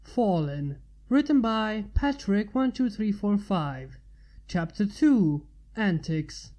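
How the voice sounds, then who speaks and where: A woman's voice: mid-range voice, lively intonation, slow, dark tone. A woman reading a scripted story as its narrator. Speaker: fan fiction narrator.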